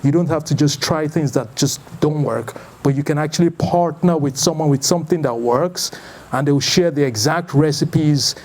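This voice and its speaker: A man in his thirties with a Nigerian accent, giving an informal, conversational talk as a founder introducing an event, in a loose, halting way. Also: a little high, a little mellow. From Lagos, Nigeria. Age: 30s. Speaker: startup founder and event host.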